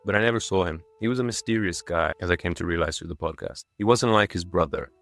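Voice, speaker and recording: A man's voice: low-pitched, quick pace, fairly bright tone. A man in his twenties, raised in Dubai, delivering a scripted personal narration in a lightly self-mocking, tongue-in-cheek manner. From born and raised in Dubai in a Croatian family, moved to England as a child. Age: 20s. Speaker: video creator.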